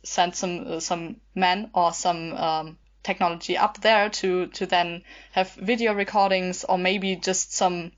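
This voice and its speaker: A woman's voice: lowish voice, fairly even intonation, a little slow, hardly any pauses. A woman chatting casually as a podcast guest, improvising in a tentative, hesitant manner. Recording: dull, muffled sound.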